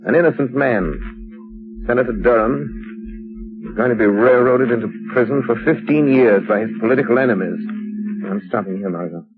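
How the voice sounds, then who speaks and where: An actor playing a calm, determined crime-fighter in a 1930s radio drama, speaking in an earnest, measured way. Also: male voice, medium pitch, over music, close to an everyday voice. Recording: narrow, lo-fi 1930s radio broadcast with faint hiss.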